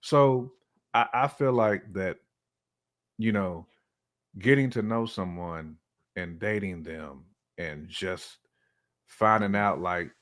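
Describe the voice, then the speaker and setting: A man's voice: sits low, slow, long pauses, fairly bright tone. A man talking casually as a podcast co-host, in a colloquial, emphatic way that checks in with the listener. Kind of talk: casual conversational talk.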